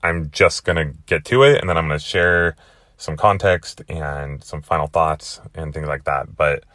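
A young man with a hoarse, worn-out voice hosting his podcast in a rambling, chatty way. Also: rumbling low, normal speed, a bit bright, dry recording. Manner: chatty, rambling with many fillers. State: hoarse, lost voice. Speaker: podcast host. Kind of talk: casual monologue.

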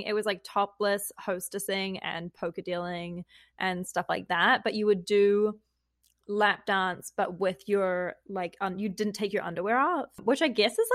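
A woman's voice: medium pitch, no room sound, clean studio sound. A woman with a New Zealand accent, chatting casually as a podcast guest. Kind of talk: casual chat.

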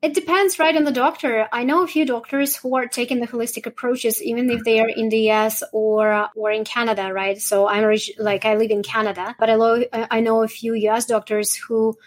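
A woman's voice: fairly high pitch, level tone, a little fast, hardly any pauses. A woman from Russia, answering interview questions in a rambling way and often adding "right?". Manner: rambling, frequent "right?" tags, light laughs. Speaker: guest, holistic nutritionist.

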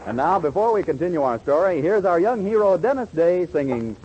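Animated American radio announcer, man. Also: sits high, a little fast. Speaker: announcer and narrator. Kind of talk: 1940s radio scripted narration. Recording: muffled, noisy old radio broadcast.

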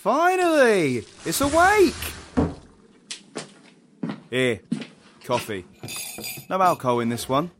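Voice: mid-range voice, wildly swooping pitch, takes it slowly, long pauses, noisy background. An actor playing a blunt but protective rebel ally in an audio drama, speaking earnestly. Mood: dry, teasing.

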